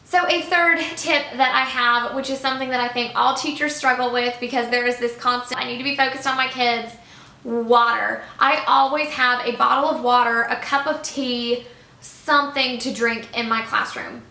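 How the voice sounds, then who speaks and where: A young woman talks straight to camera in a chatty, expressive way, her voice bright and a little high. The room around her rings with echo, and a faint hum sits underneath. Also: moderate pace, vocal fry. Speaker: middle school teacher. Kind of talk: casual vlog to camera. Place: living room by a fireplace, indoors.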